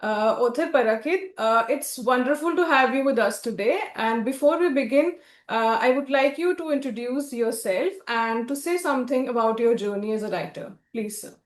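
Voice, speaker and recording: High-voiced woman hosting in a roomy echo. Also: normal speed. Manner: polite and deferential. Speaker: interviewer and host for a publisher. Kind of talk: author interview, partly scripted introduction and questions.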